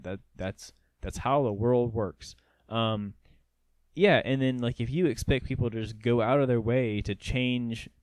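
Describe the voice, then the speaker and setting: A man's voice: medium pitch, darkish tone, dry recording. A young man from North Georgia, co-hosting a podcast in a rambling, casual way with many restarts. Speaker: podcast co-host. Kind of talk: casual chat.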